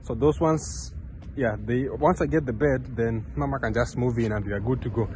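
A man's voice: medium pitch, moderate pace, darkish tone, a little hiss or hum, rough recording. Earnest young man vlogging outdoors. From Kenya. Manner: earnest, rambling, with many restarts and fillers. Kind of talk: casual vlog talk to camera. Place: outdoors on a park path beside a road. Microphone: phone camera held close.

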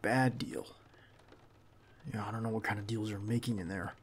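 A man acting a tense, loyal, protective bodyguard in an ASMR roleplay, speaking in a halting, earnest and reassuring way. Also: on the low side, fairly even intonation, slight background noise, lightly acted. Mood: probing, uneasy.

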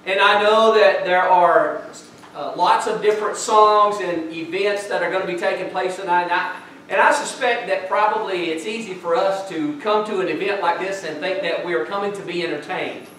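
Middle-aged pastor, high-pitched man, folksy host, heard from far across an echoey sanctuary. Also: normal speed, slight background noise. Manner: relaxed, folksy, with frequent uh fillers. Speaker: pastor hosting a church program. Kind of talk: church announcements and prayer. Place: large church sanctuary with a stage. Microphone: far camera, speaking from the stage.

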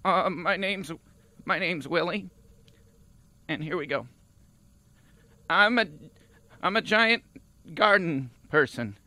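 A man voicing a simple, plodding auditioner, halting and repetitive, in improvised game comedy. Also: high voice, expressive, sharp tone, dry recording, full character voice. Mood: earnest, plodding.

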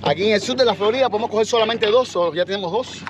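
Male kayak-fishing vlogger, middle-aged, very high voice, colloquial and exclamatory, loud outdoor water noise around him. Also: very fast. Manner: exclaiming, colloquial, swearing casually. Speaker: fishing channel host. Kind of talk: casual excited fishing vlog commentary. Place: outdoors in a kayak on open sea. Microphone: action camera mounted on the kayak.

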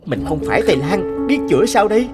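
A man's voice: high voice, very animated, fast talker. A man voicing a poor, honest young farmer who stammers and protests in a cartoon folk tale. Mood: worried. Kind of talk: animated folk tale voice acting. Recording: music bed behind the voice.

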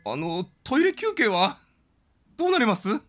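A man's voice: high-pitched, huge pitch swings, normal speed, a bit bright, faint music behind, pitched above the performer's own voice, exaggerated voice. A man voicing a meek, eager underling park guard in video game dialogue, deferential and hesitant. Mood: timid, eager.

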